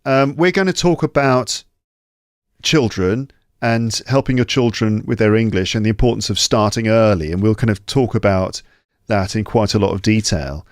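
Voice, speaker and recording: A man's voice: lowish voice, moderate pace, no echo, clean studio sound. A man hosting a podcast, joking and rambling with fillers as he interviews a guest over a video call. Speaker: podcast host. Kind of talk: casual interview chat.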